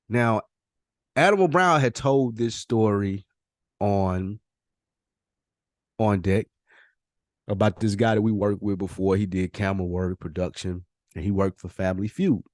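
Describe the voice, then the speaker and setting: Low-voiced man, casual and slangy. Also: a little mellow, dry recording, studio quality. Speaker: podcast host. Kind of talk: casual podcast conversation.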